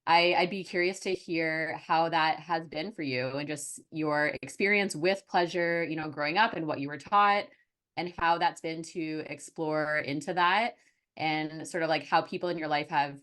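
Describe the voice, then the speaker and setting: A woman's voice: deep voice, steady tone, moderate pace, a bit bright. A woman hosting a podcast, asking thoughtful, hesitant questions in a casual interview. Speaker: podcast host.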